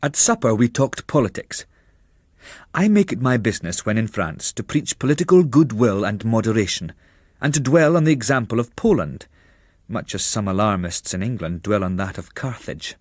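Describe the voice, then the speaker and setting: A man reading a literary travel memoir as narrator, in a measured, expressive storytelling manner. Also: lowish voice, dry recording, studio quality.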